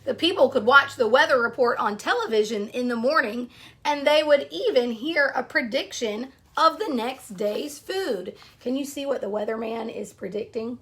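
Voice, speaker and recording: A woman reading a children's picture book aloud, pausing between short phrases and speaking directly to young listeners. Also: on the high side, a bit bright.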